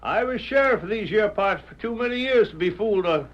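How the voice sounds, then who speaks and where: An actor playing a wounded, folksy old retired sheriff in a 1930s radio drama, excitable and twangy. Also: male voice, sits high, brisk, lo-fi sound. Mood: wary.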